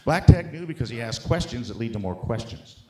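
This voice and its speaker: A man's voice: mid-range voice, brisk. Profane male stand-up comic in a roomy space. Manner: profane, animated storytelling with acted-out bits. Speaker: stand-up comedian, special guest. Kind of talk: stand-up comedy set. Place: live show on a stage before an audience. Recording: roomy live stage sound.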